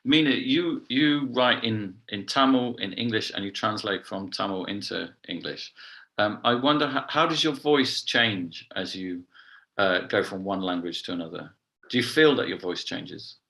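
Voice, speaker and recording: A man's voice: on the low side, moderate pace, creaky, bright, forceful tone. A man moderating a panel, asking hesitant, searching questions.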